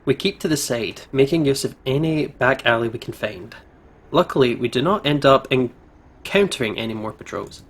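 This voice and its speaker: A man's voice: medium pitch, lively intonation, moderate pace. A man hosting a gaming video, reading story narration aloud casually and stumbling over words now and then. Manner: giggly, stumbles while reading. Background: game music.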